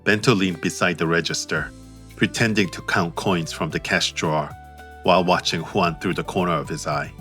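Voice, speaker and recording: Low-voiced man narrating an audiobook, steady and crisp in tone. Soft music underneath. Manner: measured, steady. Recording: faint music behind.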